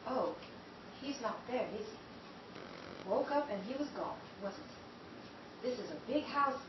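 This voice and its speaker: Woman voicing a curt sharp-tongued lady, high-pitched, old-time radio play, far-off roomy sound, muffled, background hiss. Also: animated, measured pace. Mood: brusque. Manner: brusque, then shrieking in fright. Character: blunt sharp-tongued woman roused at night. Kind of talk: 1930s-style radio drama acting. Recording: roomy, distant, dull and noisy.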